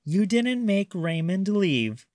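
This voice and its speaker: A man voicing a gentle mother shark in a storybook, patient, soothing and reassuring. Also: high-pitched, sing-song, slow pace, no echo, clean studio sound, voice pushed up, exaggerated voice.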